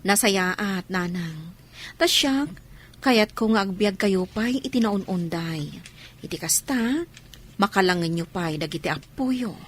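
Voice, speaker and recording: A woman playing a dutiful, aggrieved grown daughter in a radio drama, earnest and husky, with a Filipino accent. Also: mid-range voice, lively intonation, measured pace, fairly bright tone. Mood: caring. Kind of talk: Ilocano radio drama acting.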